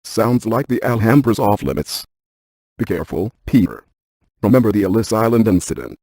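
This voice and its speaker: An actor playing a brainy, dryly cautious ghost hunter scientist in a video game, speaking in a deadpan, precise, technical way. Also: male voice, on the low side, normal speed, dry recording. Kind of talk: scripted video game character dialogue.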